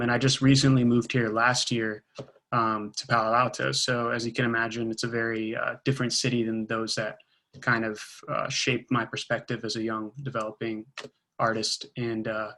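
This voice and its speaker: A man born in Israel and raised in Georgia, an artist introducing himself on a panel call in a relaxed, rambling way. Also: mid-range voice.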